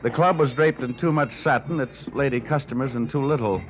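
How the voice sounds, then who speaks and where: An actor playing a wry, hard-boiled insurance investigator with an American accent and a sardonic manner, in an old radio detective drama. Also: male voice, mid-range voice, brisk, lightly acted. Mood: dry narration. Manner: wisecracking, sardonic. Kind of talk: 1940s-50s radio detective drama, narration and dialogue. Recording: dull vintage radio recording with music.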